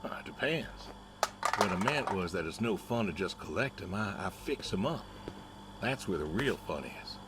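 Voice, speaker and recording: An actor playing a weathered, stubborn older pig farmer in a video game, with a wry, folksy, hesitant way of telling things. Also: male voice, fairly low pitch, lively intonation, very noisy, close to an everyday voice. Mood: wistful, wry. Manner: folksy, hesitant, unhurried storytelling. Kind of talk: naturalistic video game acting.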